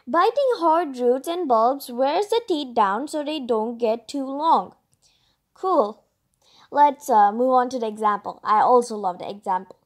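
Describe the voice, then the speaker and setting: A female host reading a children's picture book aloud, expressively, with chatty asides and drawn-out emphasis. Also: sits high.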